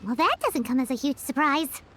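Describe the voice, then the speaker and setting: A woman voicing a tiny, excitable fairy-like companion in a video game, with a very high, childlike voice, quick to protest. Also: a little hiss or hum, full character voice. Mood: skeptical.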